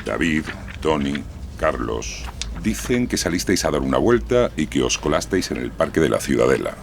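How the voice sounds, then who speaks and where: Very deep, gravelly police interrogator. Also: male voice, very animated, moderate pace, slight background noise, exaggerated voice. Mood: sardonic, pressing. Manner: sarcastic, pressing. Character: sardonic, relentless male police sub-inspector interrogating a suspect. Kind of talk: dramatized true-crime podcast acting.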